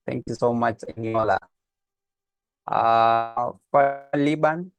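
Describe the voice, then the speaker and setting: A man's voice: medium pitch, slow pace, gravelly, clean tone, no room sound, highs cut off. A man hosting an online meeting and greeting participants, with many fillers as he talks. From Kenya. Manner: friendly, hesitant with many uh fillers. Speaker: host of online office hours. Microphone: computer mic on a video call.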